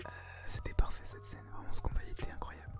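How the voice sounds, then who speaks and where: A young adult whispers a casual anime review as co-host, in informal youth slang. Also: fast. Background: music.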